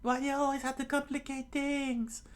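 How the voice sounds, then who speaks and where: A man voicing a sharp-tongued, exasperated young woman pirate in a scolding falsetto for a read-aloud story. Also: little pitch movement, moderate pace. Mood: angry, scolding.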